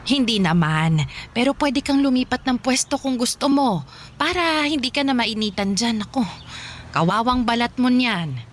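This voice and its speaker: A woman's voice: fairly high pitch, animated, normal speed, a little hiss or hum, natural voice. An actress playing a kind middle-aged woman in a radio drama, fussing caringly over a homeless girl. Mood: concerned. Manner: warm-hearted, fussing, folksy.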